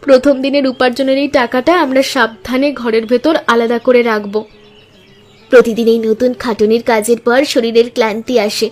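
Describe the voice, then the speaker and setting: A woman's voice: fairly high pitch, close to an everyday voice. A woman voicing an earnest, sisterly, hopeful village housewife in a scripted cartoon story. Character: hardworking, hopeful village housewives starting a food business. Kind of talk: scripted animated story dialogue.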